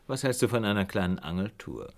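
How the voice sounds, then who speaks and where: A shrewd, courteous gentleman detective for radio drama. His voice sits fairly low but moves with great range. He speaks with urbane, theatrical deliberation, probing and sure of himself. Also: sing-song, a little fast. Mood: courteous, curious. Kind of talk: German radio play acting.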